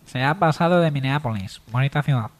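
Casting: man as matter-of-fact controller, average pitch, clipped deadpan delivery, comedy sketch. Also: brisk, natural voice. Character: matter-of-fact male air traffic controller. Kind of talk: absurd radio comedy sketch.

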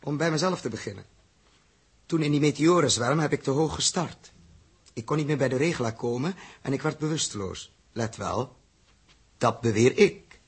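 Spaceship crew boss in 60s dutch radio play, really husky, firm and deliberate. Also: male voice, medium pitch, animated. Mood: reasoning, firm. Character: Joost, spaceship crew leader, firm and reasoning man. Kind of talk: 1960s Dutch radio drama acting.

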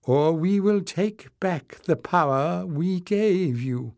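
A man's voice: medium pitch, animated, extremely slow, warm tone, no echo, clean studio sound. A man voicing a proud, brave old grandmother in a storybook, with a quavering voice. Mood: quietly defiant.

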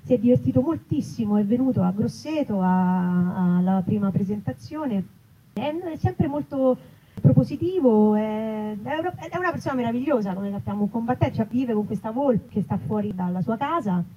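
A woman, a writer and actress, chatting casually and animatedly in an interview, often pausing to rephrase. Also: medium pitch, animated. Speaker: writer and actress interviewed about her book. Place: live festival event. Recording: muffled recording with clear background noise.

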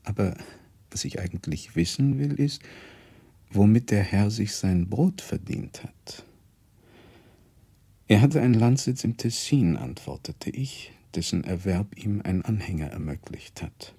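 An actor playing a learned male professor who narrates a memory drily and in measured phrases for a radio drama, with a husky, creaky voice. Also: lowish voice, expressive, mellow, exaggerated voice. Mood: dry, reminiscing. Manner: measured, dry, quoting others as he goes.

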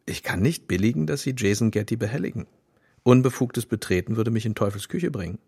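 Dry tactful detective in radio play, husky voice, measured but moves around a lot. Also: male voice, on the low side, animated, a little mellow, exaggerated voice. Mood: cautious, knowing. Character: male police detective, dry, tactful, world-weary colleague. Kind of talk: German audio drama acting.

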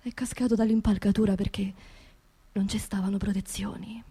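An actress playing a grieving working-class widow in a radio theatre monologue, with a Roman accent and an intimate, halting delivery that repeats phrases. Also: female voice, medium pitch, level tone, mellow, breathy edge. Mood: subdued, weary. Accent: central Italian, Roman. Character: grieving working-class widow of a construction labourer, haunted, indignant.

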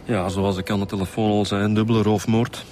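A man's voice: fairly low pitch, flat delivery, a little fast, dark tone, close to an everyday voice. A man playing a methodical, matter-of-fact homicide detective in a radio drama, speaking with a Flemish accent. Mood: factual, grave. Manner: methodical, factual. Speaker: inspector.

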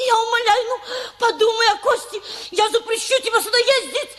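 An agitated, extremely high-pitched female relative. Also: a little fast, a bit bright, long reverb tail. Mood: tearful pleading. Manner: exclamatory, repeating her pleas. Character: agitated, emotional woman in a family quarrel. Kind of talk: radio play acting.

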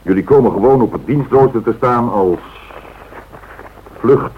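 A man's voice: medium pitch, measured pace, a little hiss or hum, natural voice. An actor playing an authoritative male airline director in a radio drama, firm and businesslike. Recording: thin, phone-like old radio recording.

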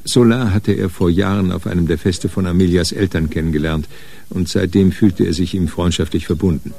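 A man narrating a radio crime drama in a sober, matter-of-fact, measured way. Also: deep voice, fairly even intonation, vocal fry, dark tone. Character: sober narrator of a hard-boiled crime story.

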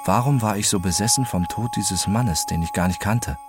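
An actor playing an introspective, obsessive man who narrates his own story in a scripted radio drama, measured and contemplative. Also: lowish voice, natural voice. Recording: narration over very loud music bed.

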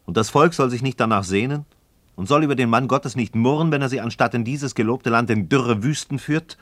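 An actor playing a disillusioned, impassioned Freemason novice in a philosophical radio play, speaking in an aggrieved, rhetorical, declamatory way. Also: male voice, medium pitch, brisk, no echo, lightly acted. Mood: reproachful. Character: disillusioned, impassioned male Freemason novice questioning his friend. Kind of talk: mid-century German radio play acting of philosophical dialogue.

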